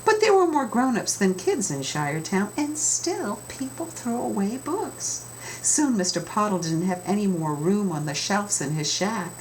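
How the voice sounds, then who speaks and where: A woman reading a children's storybook aloud with expressive, dramatic emphasis, as an author telling her own tale. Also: lowish voice, a little hiss or hum, poor audio.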